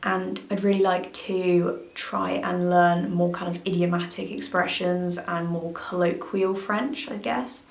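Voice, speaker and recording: A woman's voice: fairly low pitch, gravelly. A young woman talking casually to camera as a vlogger, hesitant and full of fillers and hedges. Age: young adult. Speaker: language-learning vlogger. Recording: echoey room.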